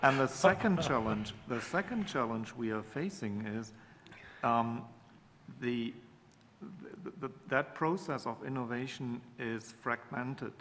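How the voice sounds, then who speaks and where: A man's voice: medium pitch, measured pace, a bit bright. A middle-aged man speaking on a conference panel, hesitant and repeating words as he searches for them. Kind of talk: panel discussion. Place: large conference hall. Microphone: table microphone. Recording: reverberant hall, faint room noise.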